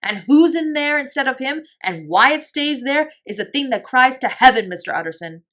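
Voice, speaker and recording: A woman's voice: high voice, lively intonation, moderate pace, fairly bright tone, voice pushed up. A woman voicing an anxious, loyal old male butler, deferential but urgent and emphatic, in a storybook reading. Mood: agitated conviction.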